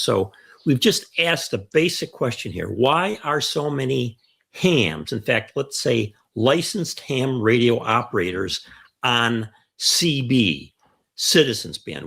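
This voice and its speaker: A man's voice: mid-range voice, gravelly, fairly bright tone. An older man with an American accent, chatting through an explainer as host and stressing key phrases. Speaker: host of a ham radio show.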